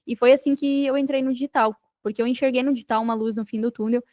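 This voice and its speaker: High-pitched Brazilian woman telling her story. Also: steady tone, fast talker, darkish tone, no room sound, studio quality. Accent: Brazilian Portuguese. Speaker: podcast guest, digital marketing entrepreneur. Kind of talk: conversational interview storytelling.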